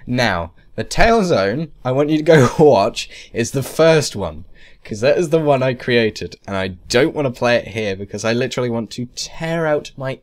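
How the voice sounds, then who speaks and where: A man narrating a software tutorial casually, in a rambling, hesitant, self-deprecating way. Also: mid-range voice, expressive, normal speed. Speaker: tutorial presenter. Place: indoors, screen-recording voice-over.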